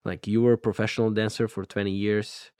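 A man's voice: on the low side, level tone, brisk, a little mellow, clean tone, no echo, clean studio sound. A man hosting a podcast, asking long rambling questions full of fillers. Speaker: podcast host. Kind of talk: casual interview talk.

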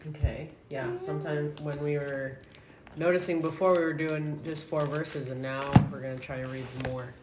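A woman with a low voice leads a casual family discussion. She is encouraging and prompts with questions. The room echoes and there is loud noise on the recording. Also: steady tone, normal speed.

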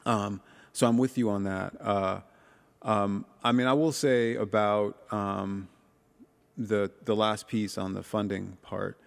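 A man who is a law professor talking as a podcast guest, halting with many ums and restarts. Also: lowish voice, little pitch movement, creaky, big-room sound. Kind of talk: casual conversation.

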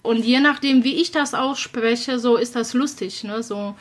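Woman around sixty, Polish accent, trouble with R sounds, hesitant, searching for words, slightly high voice. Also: normal speed, a little hiss or hum. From Poland. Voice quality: cannot pronounce R, lip trill instead. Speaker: guest. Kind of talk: casual conversation.

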